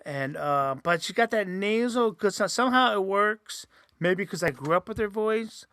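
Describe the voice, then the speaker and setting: A man's voice: sits high, animated, moderate pace, pushed and bright, clean tone, no room sound. A man hosting a casual solo podcast, rambling with many fillers and restarts, his voice sometimes a little nasal. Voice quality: says he sometimes sounds nasal. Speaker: host.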